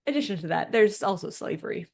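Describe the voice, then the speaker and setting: A woman's voice: medium pitch, animated, quick pace, vocal fry. A woman co-hosting a podcast, explaining and discussing things in an informal, conversational way. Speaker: podcast co-host.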